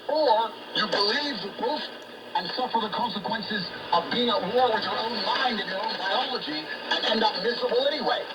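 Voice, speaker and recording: A man talking politics as a guest on a talk show, in an emphatic, ranting manner, heard over shortwave radio. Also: high voice. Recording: noisy shortwave radio broadcast, distant.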